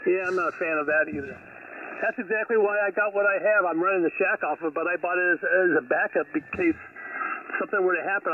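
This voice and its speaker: Fast high-voiced man on tinny radio. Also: far from the mic, slight background noise. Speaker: radio caller. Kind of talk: casual chat over the air.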